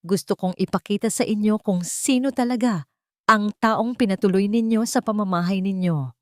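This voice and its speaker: A woman's voice: normal speed, a little mellow, no echo, clean studio sound, close to an everyday voice. A woman storyteller voicing a haughty, mocking rich society woman with a contemptuous, taunting manner. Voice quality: shrill.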